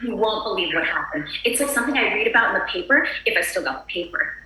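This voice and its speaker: A woman's voice: medium pitch, animated, fast talker, recorded from a distance, crowd noise, natural voice. A woman playing a prim, polite pregnant housewife turned exasperated kidnapper in a screenplay table read, scolding with good manners. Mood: flustered politeness. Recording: roomy echo, away from mic.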